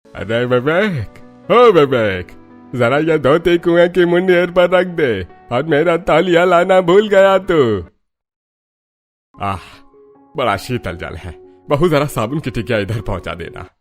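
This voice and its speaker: Cartoon grandfather: old, bossy village elder, high-pitched, very slow, drawn-out calls. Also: expressive, faint music behind. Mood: contented. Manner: drawn-out calling, commanding. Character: elderly bossy village grandfather-in-law who loves bathing. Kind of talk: Hindi cartoon story dialogue.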